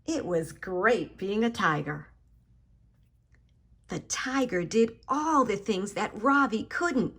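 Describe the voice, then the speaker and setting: A woman with a mid-pitched voice reads a picture book aloud to young children. Her delivery is slow and expressive, with dramatic emphasis on key words. She talks directly to her young listeners as a story time host. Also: husky.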